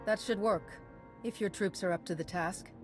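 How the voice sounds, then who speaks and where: A woman as a calm, resolute game heroine. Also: mid-range voice, normal speed, natural voice. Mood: calm resolve. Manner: even-tempered, direct. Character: calm, resolute female Jedi hero. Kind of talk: video game acting. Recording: game music behind.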